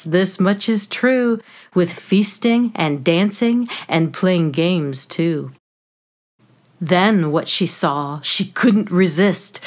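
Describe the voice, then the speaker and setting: A woman author reading a children's story in rhyme, with an expressive, measured storytelling manner. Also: fairly low pitch.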